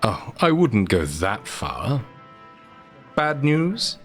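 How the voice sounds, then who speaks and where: An actor playing a suave, self-assured, teasing man in an audio drama, talking in a wry, drawling, smooth way. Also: medium pitch, very animated, very slow, soft background music.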